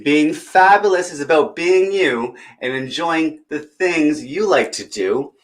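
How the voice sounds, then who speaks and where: Male children's storyteller, fairly high voice, encouraging, chatty read-aloud style that speaks straight to little kids. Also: expressive, a little slow. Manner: encouraging, talks directly to young listeners. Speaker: children's story time reader.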